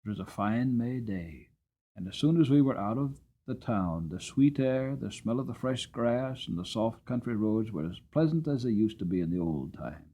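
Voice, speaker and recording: A gentle old-fashioned carriage horse tells his life story , voiced by a man. The storybook delivery is earnest and expressive, with lively swings in pitch. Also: moderate pace, natural voice. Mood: fond, contented. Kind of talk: dramatic storybook narration.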